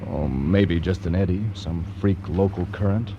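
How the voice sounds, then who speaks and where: Low-voiced, wry young student. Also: male voice, normal speed, gravelly, dull audio, background noise. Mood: intrigued. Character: young male science student, dryly joking, practical, eager. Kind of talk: radio drama acting.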